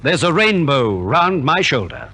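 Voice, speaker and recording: A man's voice: on the high side, big pitch swings, unhurried. A man from Australia narrating as a formal, theatrical compere in 1950s scripted radio. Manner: formal, theatrical announcer delivery. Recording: 1950s radio transcription recording.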